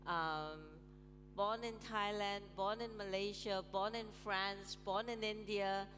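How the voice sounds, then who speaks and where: A woman's voice: mid-range voice, steady tone, slow. Woman panel moderator in a big reverberant hall with music behind her, chatty, lots of ums. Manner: chatty, laughs often, many ums. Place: large conference hall on stage.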